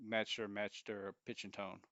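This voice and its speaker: A man's voice: on the low side, level tone, normal speed, bright, forceful tone, no echo, highs cut off. A man in his forties co-hosting a podcast, chatting casually with a joking, profane manner. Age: 40s. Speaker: podcast co-host. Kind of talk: casual chat.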